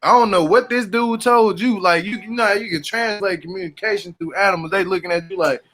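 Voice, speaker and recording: A man's voice: sits high, fairly quick, fairly bright tone. A man hosting a casual group chat, telling loose slangy jokes and stories. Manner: loose joking storyteller, slangy and profane. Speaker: host. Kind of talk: casual group chat, reality show recap.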